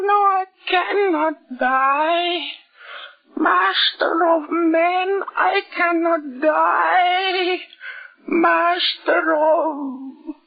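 A man's voice: very high-pitched, full character voice. A man playing a formal, grave, anguished scientist in an old-time radio horror drama. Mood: anguished, dying. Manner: formal, halting, solemn delivery. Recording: muffled lo-fi old radio recording.

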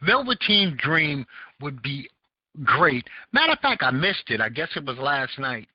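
A man's voice: on the high side, sing-song, measured pace, dry recording. Rambling Southern American man hosting a podcast. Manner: rambling, searching for words with many fillers. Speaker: podcast host. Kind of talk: casual call-in talk show chat. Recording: dull lo-fi audio.

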